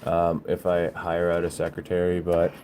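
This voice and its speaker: A man hosting a casual livestream, talking in a halting, rambling, informal way as he answers viewers. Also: sits low, completely flat delivery, background noise. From Bulgaria. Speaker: livestream host, small business owner.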